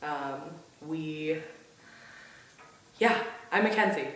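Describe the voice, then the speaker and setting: Hoarse young woman, low voice, echoey. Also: very slow, fairly bright tone, far from the mic. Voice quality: weak, whispery voice. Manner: chatty, laughs often. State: hoarse from laryngitis. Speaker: podcast co-host. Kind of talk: casual vlog chat.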